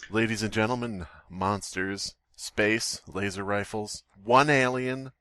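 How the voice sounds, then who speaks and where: A man's voice: on the low side, fairly even intonation, slow pace, bright, forceful tone, no echo. A man co-hosting a comedy podcast, joking and swearing as he riffs on horror stories. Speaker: podcast co-host. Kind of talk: casual comedic banter about horror stories read aloud.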